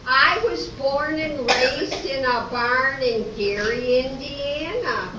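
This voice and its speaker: A woman playing a rambling, scatterbrained, down-on-her-luck country contestant in an amateur radio play. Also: high-pitched. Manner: slow, scatterbrained, hesitant. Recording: echoey, distant, dull live recording, faint noise.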